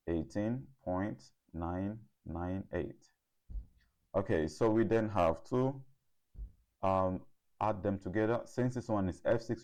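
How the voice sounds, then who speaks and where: Low-voiced man tutoring step by step. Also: darkish tone. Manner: deliberate, repeats figures as he works. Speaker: chemistry tutor. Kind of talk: step-by-step worked-problem lesson.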